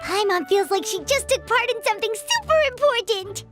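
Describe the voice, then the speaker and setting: Squeaky, excitable little fairy. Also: female voice, normal speed. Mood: eager. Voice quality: squeaky, childlike. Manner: excitable, refers to self in third person. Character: tiny childlike floating fairy companion, excitable, food-loving, female. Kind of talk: anime video game voice acting. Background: music.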